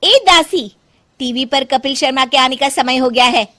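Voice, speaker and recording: A woman's voice: high voice, normal speed, pushed and bright, no room sound. A woman voicing a spoiled young wife who thinks she is a royal princess, giving orders in an imperious, haughty manner for a cartoon story. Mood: bossy. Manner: commanding, imperious, uses the royal we. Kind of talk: Hindi cartoon story voice acting.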